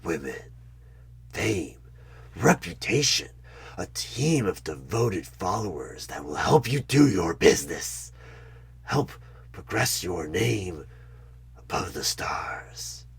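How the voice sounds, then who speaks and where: A man playing a sinister devilish tempter in a horror ASMR roleplay, slow and coaxing with a breathy, husky half-whisper and menace. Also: sits low, very animated, exaggerated voice. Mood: tempting, probing. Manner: slow, menacing, coaxing, with sudden loud bursts.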